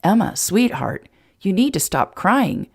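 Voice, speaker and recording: A woman's voice: mid-range voice, sing-song, measured pace, gravelly, breathy edge, voice pushed up, exaggerated voice. A woman voicing an earnest, lovestruck, plain-spoken small-town sheriff in an audiobook romance, fond and a little exasperated. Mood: fond, puzzled. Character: earnest, blunt, lovestruck adult male sheriff turned rancher.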